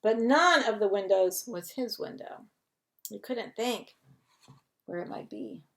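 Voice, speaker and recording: A woman's voice: medium pitch, expressive, unhurried, creaky, a bit bright. A woman reading a children's picture book aloud as a bedtime story, expressively, with chatty asides to young listeners.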